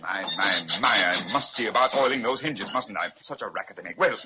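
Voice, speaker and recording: A man's voice: lowish voice, lively intonation, fairly quick, music in the background, close to an everyday voice. A man playing a dithering, rambling palace courtier. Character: fussy, scatterbrained male palace courtier. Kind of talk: 1930s radio acting. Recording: dull lo-fi 1930s radio recording.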